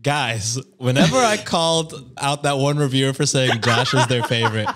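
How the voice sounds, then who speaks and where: Male podcast co-host, mid pitch, joking and casual, reads stories. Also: normal speed, noisy background. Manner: jokey, teasing, playful. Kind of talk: casual chat.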